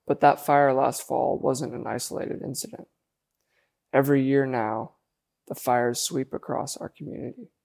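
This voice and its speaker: A male reporter narrates a news story in a flat, measured voice. A gravelly edge runs through his reading. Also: mid-range voice. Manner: even, measured delivery.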